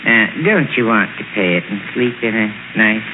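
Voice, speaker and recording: A man's voice: lowish voice, normal speed, lightly acted. A man playing a proud, folksy small-town constable with a rustic drawl in a 1940s radio drama. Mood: folksy, matter-of-fact. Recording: noisy, tinny 1940s radio recording.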